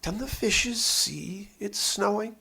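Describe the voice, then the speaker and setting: An imaginative little boy, played by a man in a storybook reading, full of playful boasts and mischief. The voice is raised a little in pitch and sounds eager as he spins his schemes. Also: takes it slowly, gravelly, bright, forceful tone, slightly rough, heavily acted. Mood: mischievous wonder. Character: imaginative, boastful, mischievous small boys chattering together.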